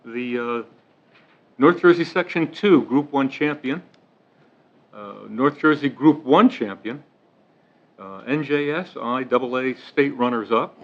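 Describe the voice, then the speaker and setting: A man's voice: medium pitch, animated. A middle-aged man speaks as mayor at a township committee meeting, stumbling over fillers and slipping in jokes. His voice comes through a dull, lo-fi meeting-room recording. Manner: halting, many fillers, joking.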